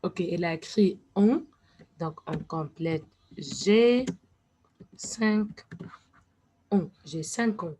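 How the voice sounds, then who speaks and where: A woman teaching French to young learners, speaking slowly and deliberately and sometimes switching to English to explain. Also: on the low side, dark tone, a little hiss or hum. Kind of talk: classroom lesson.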